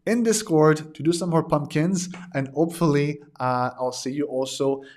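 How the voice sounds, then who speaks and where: A man in his thirties speaks in a slightly raised voice. He chats casually to viewers as a digital art teacher, stopping often to say uh and restart. His voice is wearing thin and hoarse. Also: fairly high pitch, normal speed, a little mellow. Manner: hesitant, many "uh" fillers and restarts. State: losing his voice. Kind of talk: casual livestream talk to viewers.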